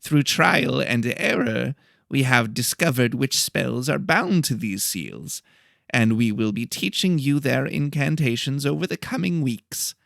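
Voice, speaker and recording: A man acts out a formal academy professor addressing his class in an audiobook, with deliberate, unhurried delivery and an animated, rising and falling pitch. The voice sits a little high and has a creaky edge. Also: no echo, clean studio sound. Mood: formal, instructive. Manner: deliberate, explanatory. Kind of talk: audiobook character dialogue.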